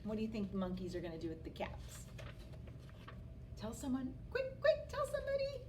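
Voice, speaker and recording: A woman reading a picture book aloud to children as an expressive storyteller who engages her listeners. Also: on the high side. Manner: expressive, pauses to ask listeners questions. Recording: distant mic, faint background noise.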